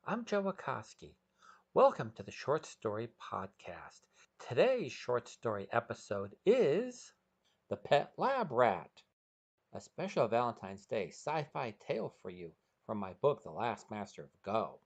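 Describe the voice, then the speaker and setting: A man's voice: mid-range voice, sing-song, unhurried. A man hosting a podcast and narrating a short story from a script.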